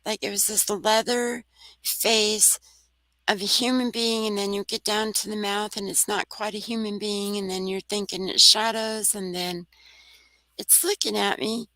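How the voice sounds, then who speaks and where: A soft-voiced woman, a guest on a talk radio show, telling a personal story in an earnest, rambling way. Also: mid-range voice, flat delivery, unhurried, no room sound, poor audio. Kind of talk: casual storytelling.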